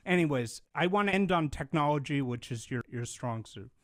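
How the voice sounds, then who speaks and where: A man hosting a podcast interview, talking in an animated, rambling way with casual swearing. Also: on the high side, normal speed. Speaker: podcast host.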